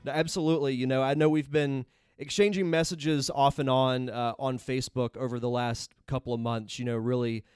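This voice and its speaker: A man's voice: medium pitch, steady tone, brisk, a little mellow, smooth, dry recording, studio quality, faint music behind. A man hosting a podcast, chatting casually with a guest and using frequent fillers. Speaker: podcast host. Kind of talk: casual interview chat.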